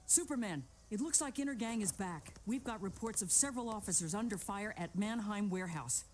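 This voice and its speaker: A woman playing a brisk, no-nonsense police officer in a video game, speaking in a businesslike way. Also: medium pitch, animated, darkish tone, slight background noise, natural voice. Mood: urgent. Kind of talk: video game cutscene acting.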